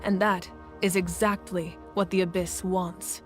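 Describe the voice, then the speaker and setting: A woman playing a composed, authoritative war deity and leader in a video game, speaking in a measured, serious way. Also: lowish voice, little pitch movement, lightly acted. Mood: composed, grave. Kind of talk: video game character acting. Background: music.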